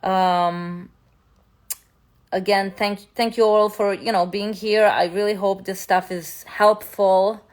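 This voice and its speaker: A woman from Serbia gives a casual spiritual talk on a live stream. She rambles loosely, restarting sentences and filling pauses as she goes. Her voice sits at an ordinary middle pitch. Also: fairly even intonation. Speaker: spiritual teacher, content creator. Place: home in New York City.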